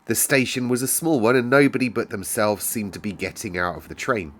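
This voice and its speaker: British guy reading a story out loud, lowish voice, storyteller narration. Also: fairly quick, slight background noise. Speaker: storyteller, host. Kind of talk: read-aloud storybook narration.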